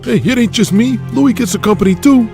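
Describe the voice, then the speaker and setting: A man voicing an older, hot-tempered schemer in an audio drama, forceful and defiant. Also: very high-pitched, brisk, gravelly, over music, pitched above the performer's own voice. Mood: feigned innocence.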